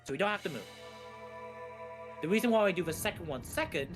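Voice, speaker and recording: A man's voice: high voice, animated, quick pace, over music. A man giving casual, jokey live commentary on a speedrun, often repeating and rephrasing himself.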